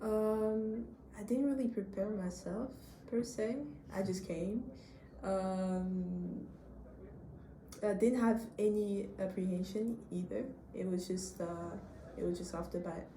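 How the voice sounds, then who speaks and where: A woman's voice: mid-range voice, steady tone, slow, warm tone, echoey room, slight background noise, low-quality recording. A young woman from Gabon in her twenties, a master's student answering interview questions in an upbeat, hesitant way.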